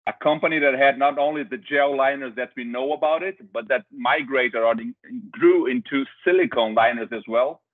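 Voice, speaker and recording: A middle-aged man from Brazil with a Brazilian accent, presenting a webinar over a video call with hesitant restarts and filler uhs. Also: mid-range voice. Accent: Brazilian Portuguese. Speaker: company director presenting a webinar. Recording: tinny phone-like video call audio.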